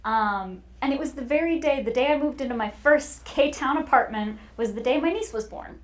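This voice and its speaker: A woman's voice: high-pitched, moderate pace. A woman speaking as a podcast guest, telling personal stories in a casual conversational way. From United States and United Kingdom.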